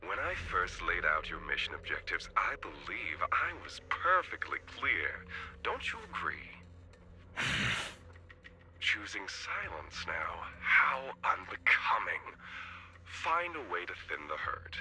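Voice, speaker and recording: A cold, menacing male superior in a game cutscene, dealing out orders with icy condescension. His speech is slow and drawn out, his pitch swoops wildly, and his tone is tense and sharp. Faint noise sits behind him. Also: very animated, heavily acted. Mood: coldly reproachful.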